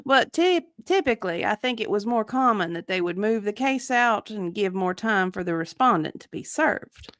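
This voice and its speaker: A woman's voice: medium pitch, lively intonation, moderate pace, few pauses, fairly bright tone, no echo, clean studio sound, lightly acted. A woman reading the part of a guarded, evasive witness in a dramatic deposition reading, with careful, hedging answers. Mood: evasive, earnest. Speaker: dramatic reader.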